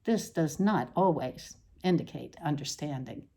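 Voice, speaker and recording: A woman narrates a scripted literary essay in a lowish, warm and mellow voice. Her intonation moves widely and animatedly, while her phrasing stays measured and deliberate, leaning on key words. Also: animated. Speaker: essay reader.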